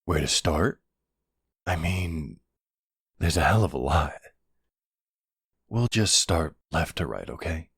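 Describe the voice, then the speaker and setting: Very deep, husky-voiced boyfriend played by a man. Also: lively intonation, dry recording, studio quality, heavily acted. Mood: attentive, caring. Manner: hesitant, halting, gently questioning. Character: supportive, puzzled boyfriend of a werewolf, adult man. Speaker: audio roleplay performer. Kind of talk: intimate boyfriend roleplay audio acting.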